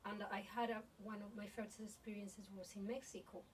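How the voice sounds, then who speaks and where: A woman's voice: medium pitch, level tone, normal speed, recorded from a distance. A woman podcast host talks introspectively, feeling her way through phrases. Her voice sits in the middle range, a little away from the microphone, with faint background noise. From born in Colombia, grew up in Spain. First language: Spanish. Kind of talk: reflective interview conversation.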